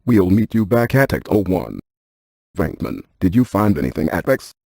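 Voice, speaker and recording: A cautious, intellectual ghost-hunting scientist for a video game. The voice is low and mellow with a husky, gravelly grain. He stays deadpan and exact, as if reading out instrument data. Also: moderate pace, dry recording, clean studio sound, exaggerated voice. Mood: matter-of-fact. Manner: deadpan, precise, technical. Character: brainy, deadpan paranormal scientist man, cautious and precise. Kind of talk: scripted video game character lines.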